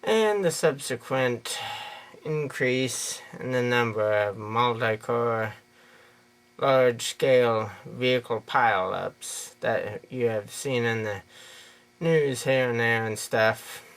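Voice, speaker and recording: An American man talks at an unhurried, slow pace with a noticeable creaky fry in his voice. He gives his opinion off the cuff, rambling a little in plain, folksy wording. His pitch sits in an ordinary male middle range. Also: a bit bright. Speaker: video creator giving an opinion. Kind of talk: unscripted opinion monologue.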